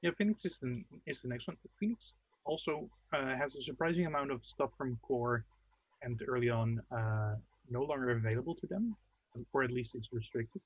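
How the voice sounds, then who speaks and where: Husky man on a tinny call line. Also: mid-range voice, a little fast, no room sound. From outside the United States. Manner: thoughtful, hesitant restarts. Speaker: card game co-host. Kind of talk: casual post-game chat. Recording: dry, tinny call-quality audio.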